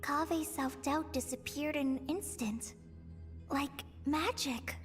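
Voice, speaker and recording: A woman's voice: high-pitched, takes it slowly, faint music behind. An actress voicing an earnest, thoughtful young girl in a video game. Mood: dry.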